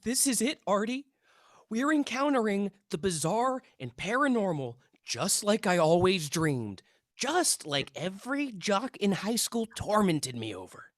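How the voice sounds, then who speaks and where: A man's voice: high voice, sing-song, measured pace, no echo, clean studio sound. A man playing an intense, earnest young amateur paranormal investigator in a script read, self-important and conspiratorial. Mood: excited, intense. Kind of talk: table read of a TV pilot script.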